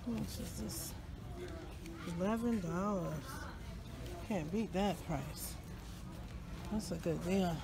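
A woman's voice: lowish voice, takes it slowly, pauses often, noisy background. A middle-aged American woman chatting casually as she vlogs on a handheld phone. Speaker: vlogger. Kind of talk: casual vlog chat. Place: busy shopping mall food court and store. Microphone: handheld phone at arm's length. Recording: phone recording with music behind.

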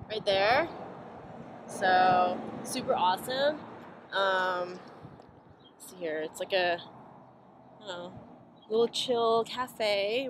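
A young woman chatting casually and informally in a rambling travel vlog, recorded on a camera held at arm's length. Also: medium pitch. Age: young adult. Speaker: vlog host, filmmaker. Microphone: built-in camera mic at arm's length. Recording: noisy handheld amateur recording.

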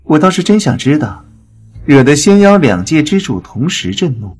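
A man playing an alluring, scheming young lord in an audio drama, soft and lingering. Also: on the high side, sing-song, normal speed, husky. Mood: sly. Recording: soft music behind.

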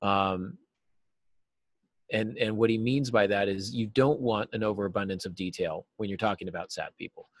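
A man in his forties giving earnest, explanatory answers as an interview guest, stressing key words deliberately. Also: lowish voice, dry recording. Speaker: writing teacher and novelist, interview guest. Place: small cluttered office, video call.

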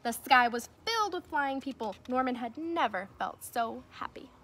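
A young woman reading a children's storybook aloud like a teacher, with expressive, emphatic delivery. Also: sits high, moderate pace. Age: young adult. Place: outdoors in a fenced backyard. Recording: noticeable outdoor ambient noise.

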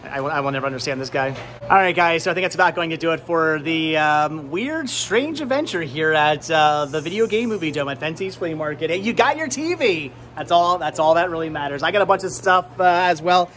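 A man in his thirties hosting a casual vlog, chatting enthusiastically and rambling with halting, repeated words. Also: on the high side, animated, fairly bright tone, a little hiss or hum. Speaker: vlog host. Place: large indoor flea market and warehouse loading area. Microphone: handheld camera at arm's length.

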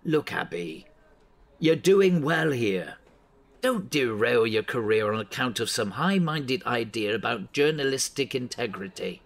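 A bossy newspaper editor in a radio play. He scolds bluntly and with authority, his exasperated voice swinging widely in pitch. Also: animated, a little slow, gravelly, a little hiss or hum. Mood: stern. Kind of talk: audio drama acting.

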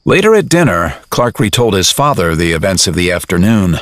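Dramatic male narrator, lower register, lively intonation, clear music bed underneath. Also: fairly quick. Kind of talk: scripted dramatic audiobook narration.

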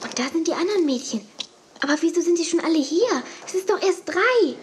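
An actress playing an excitable, impatient young girl in a 1980s German children's audio drama, exclaiming urgently. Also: very high voice, brisk. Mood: excited. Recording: noisy old cassette audio drama.

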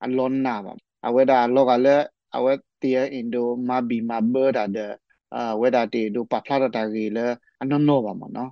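A man's voice: medium pitch, vocal fry, no echo. A man speaking off the cuff in spontaneous commentary on a radio programme. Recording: slightly muffled shortwave radio programme audio.

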